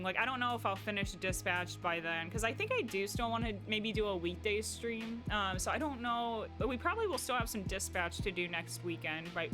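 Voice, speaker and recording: A young woman with a Midwestern accent talking casually to her stream chat in a rambling way, full of "like" and restarts. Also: mid-range voice. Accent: Midwestern American. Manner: chatty and rambling, heavy on "like" and restarts.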